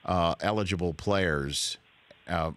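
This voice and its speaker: A man's voice: low voice, slow pace, a bit bright. A man hosting a sports talk show, interviewing a guest in a conversational, teasing way.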